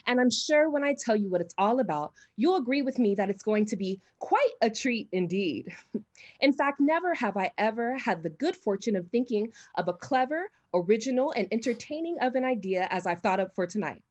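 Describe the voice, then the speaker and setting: A woman's voice: a little high, animated, normal speed, a little mellow. A woman playing a gushing, self-important society hostess and would-be medium in a radio drama, speaking in a grand, theatrical manner.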